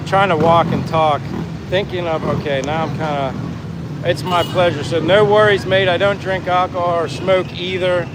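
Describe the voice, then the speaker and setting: Clear traffic and engine noise surrounds a man talking outdoors as he walks. His voice sits a little higher than most men's. He chats casually with livestream viewers, reading their comments and responding with friendly, effusive thanks. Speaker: livestream host. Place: outdoors on the move, near traffic.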